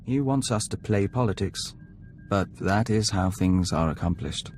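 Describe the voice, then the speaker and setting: Male courteous game companion, diplomat type: lowish voice, calm, polite, measured. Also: soft background music. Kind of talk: video game cutscene acting.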